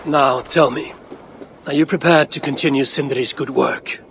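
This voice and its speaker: An actor playing a proud, scheming Norse god in a video game, speaking formally and persuasively. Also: male voice, mid-range voice, steady tone, moderate pace, creaky, fairly bright tone, a little hiss or hum. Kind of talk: video game dramatic acting.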